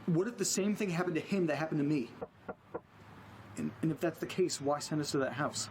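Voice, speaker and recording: An actor playing an anxious, earnest man in a naturalistic audio drama, speaking in a hesitant, halting way. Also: on the high side, background noise, lightly acted. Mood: troubled. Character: anxious, earnest man recording strange events at home. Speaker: lead character of a horror audio drama.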